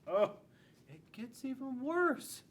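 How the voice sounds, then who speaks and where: Animated, high-pitched American man reading aloud. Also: slow. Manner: animated, dramatic, asking the children questions. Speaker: school resource police officer. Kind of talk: reading a children's picture book aloud. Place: school classroom, indoors. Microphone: camera a few feet away. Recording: noticeable room noise with low rumble.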